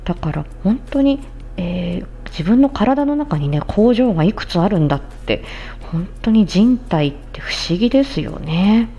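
A woman's voice: medium pitch, lively intonation, a little slow, gravelly, dark tone, lo-fi sound. A woman giving an explanatory health talk in plain, conversational words, like a podcast host addressing listeners. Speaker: podcast host, speech-language therapist.

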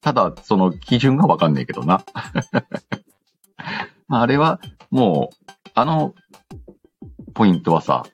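A man's voice: lowish voice, very animated, a little slow, long pauses, breathy edge, muffled recording, faint music behind. A man hosts a casual radio-style show, reading listener messages aloud and adding playful quips. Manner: playful quips and retorts to what is read. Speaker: host of an audio show reading listener letters.

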